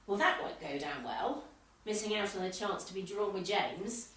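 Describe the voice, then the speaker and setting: A woman's voice: mid-range voice, normal speed, a bit bright, natural voice. A woman playing a tart, sarcastic, competitive tennis club member in an amateur comedy radio play. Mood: dry sarcasm. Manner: dry, pointed, sarcastic. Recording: roomy, echoey, distant home recording.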